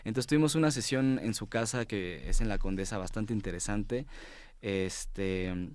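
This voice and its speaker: A man with a fairly low, clean voice and a Mexico City accent. He talks casually as an interview guest, circling and pausing as he searches for the right word. The sound is close and dry. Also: darkish tone, clear, resonant tone, dry recording. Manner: hesitant, groping for words, informal. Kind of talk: casual studio conversation. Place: TV studio.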